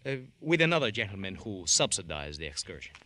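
An actor playing a courtly, florid travel agent with a Spanish accent in a radio drama, formal and courteous. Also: male voice, deep voice, sing-song, a little fast, pushed and bright, no room sound, exaggerated voice. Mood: philosophical, suave. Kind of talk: old-time radio crime drama acting.

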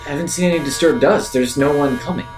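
A man's voice: a little high, quick pace, darkish tone. Questioning man in a tabletop game. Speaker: tabletop role-playing player. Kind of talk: casual improvised game talk. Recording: clear music bed behind voice.

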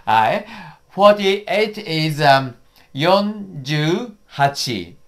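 A Japanese-accented man in his fifties, a native Japanese speaker, teaching a casual lesson from a small studio room. He talks slowly, drills words again and again, and his pitch swings up and down a lot. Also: sing-song, fairly bright tone. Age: 50s. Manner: slow, repetitive drilling with cheerful laughs. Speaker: YouTube host and teacher.

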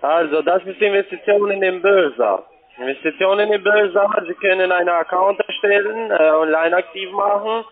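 A tinny phone line carries a fairly high male voice. The man is a non-native speaker delivering a cold-call sales pitch. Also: moderate pace. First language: not German. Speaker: cold caller.

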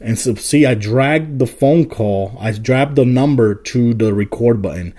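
Casual man with a slightly mellow voice. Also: mid-range voice, measured pace. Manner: informal, step-by-step explaining. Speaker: tech video host. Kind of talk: casual phone app tutorial.

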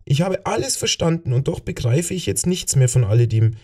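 Man voicing an exhausted, high-pitched, awestruck visionary. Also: lively intonation, a little mellow, husky. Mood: rapt, faltering. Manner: halting, wonderstruck. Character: exhausted man recounting wondrous visions in awe.

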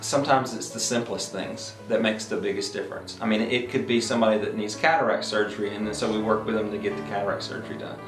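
A man's voice: on the low side, steady tone, fast talker, few pauses. A man in his fifties explaining his work in an interview. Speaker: eye care practitioner. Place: eye exam room indoors. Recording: roomy, music underneath. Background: guitar music.

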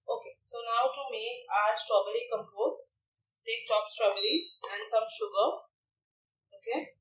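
A woman's voice: fairly high pitch, unhurried, pushed and bright, slight background noise. A young woman chef instructor giving a step-by-step cooking demonstration, recorded in a large teaching kitchen. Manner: instructive, step-by-step, frequent "okay" and "right". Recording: echoey kitchen, distant from mic.

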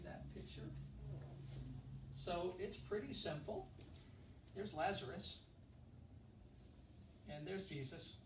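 A middle-aged man preaching a conversational sermon, chatty and relaxed, asking his congregation questions as he walks around the room. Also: mid-range voice, background noise. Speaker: pastor. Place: church fellowship hall, indoors. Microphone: handheld mic, filmed from far back. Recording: echoey room from far off.

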